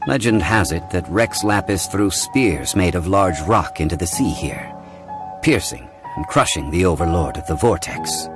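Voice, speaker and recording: An actor voicing a calm, learned gentleman in a video game, speaking in a measured, formal, explanatory way. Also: deep voice, over music, close to an everyday voice. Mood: calm, lecturing.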